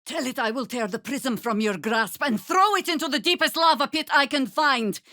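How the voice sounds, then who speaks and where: A woman playing a seasoned, wry, world-weary warrior druid in a video game, speaking in a dry, sardonic, measured way. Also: high-pitched, pushed and bright, dry recording. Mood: grave, forceful. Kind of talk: dramatic video game character dialogue.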